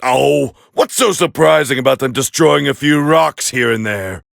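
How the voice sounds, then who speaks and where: A man playing a proud, hot-tempered alien warrior prince with a raspy voice, calling fights with scorn in an anime video game dub. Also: medium pitch, moderate pace, dry recording, studio quality. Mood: scornful, appraising. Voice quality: gravelly, raspy. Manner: haughty, scornful, biting, forceful. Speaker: fight commentator character.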